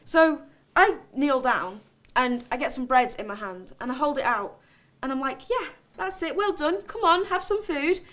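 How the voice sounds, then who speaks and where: A woman's voice: high voice, moderate pace, a bit bright. A woman playing a volatile, defensive character in a dramatic monologue, blunt and colloquial. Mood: hushed, wry. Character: volatile, defensive, sweary woman recounting her outburst. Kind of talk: dramatic monologue, conversational storytelling.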